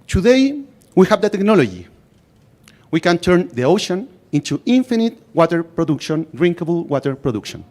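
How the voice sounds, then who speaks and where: Male presenter, Chilean accent, Spanish native. Slightly high pitch, deliberate emphatic phrasing, rehearsed pitch delivery. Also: big pitch swings, a little mellow. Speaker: startup founder presenting.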